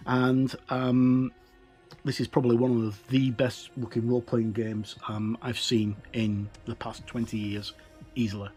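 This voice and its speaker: A man's voice: mid-range voice, flat delivery, a little slow, a little mellow. Middle-aged man presenting, music behind. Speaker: host of a tabletop gaming channel. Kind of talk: casual presenter talk, book look-through. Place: indoors, tabletop overhead shot.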